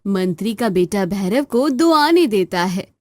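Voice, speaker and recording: A woman's voice: mid-range voice, expressive, a little slow, no echo, clean studio sound. A woman narrating a scripted children's story.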